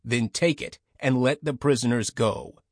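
A blunt military chief played by a male narrator with a short temper and an air of authority. His mid-pitched voice is dry and close, giving stern orders in an audiobook. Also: measured pace, no room sound, natural voice. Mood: angry, commanding. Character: commanding, hot-tempered male head of military enforcers.

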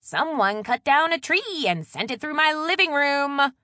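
A woman voicing a bossy, exasperated younger sister in an audiobook, loud, emphatic and full of complaint. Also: on the high side, big pitch swings, normal speed, no room sound, studio quality, voice pushed up. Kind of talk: audiobook character dialogue.

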